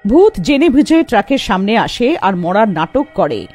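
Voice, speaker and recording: A woman narrating a scripted horror fairy tale for an animated video. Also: mid-range voice, normal speed, husky, faint music behind.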